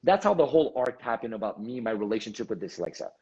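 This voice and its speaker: A husky-voiced man from Montreal, raised speaking Armenian at home, recounts his path as a guest on a video call. He tells it in an animated way and acts out the conversations he quotes, and his voice comes through dull and lo-fi. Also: on the low side, quick pace. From Montreal, Canada. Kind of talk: storytelling interview.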